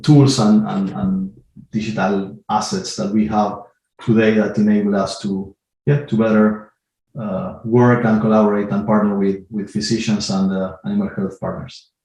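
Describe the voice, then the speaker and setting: A man from Argentina, a guest in an interview, talking in a measured way with many pauses and repeated words while he thinks. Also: lowish voice, gravelly, warm tone. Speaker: guest, IT team lead. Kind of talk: casual interview talk. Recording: roomy echo.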